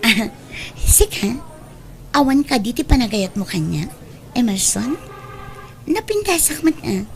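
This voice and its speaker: An actress as a bold, scheming young woman in an Ilocano radio drama. Her voice is high, with a Filipino accent, and there is clear noise in the recording. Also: big pitch swings, measured pace, pauses often, low-quality recording. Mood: shyly earnest.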